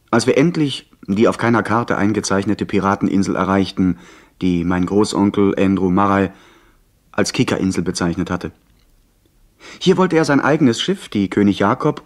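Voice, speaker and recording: A man acts a young gentleman adventurer in a sea-story radio play. His voice sits fairly low, and he phrases the tale clearly in a literate storytelling manner. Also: natural voice. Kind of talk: scripted radio play narration and dialogue.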